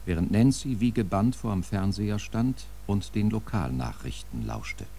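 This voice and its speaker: A man's voice: fairly low pitch, lively intonation, unhurried, creaky, mellow, husky, full character voice. A man playing the storyteller of a horror audio play, reading scripted narration. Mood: measured.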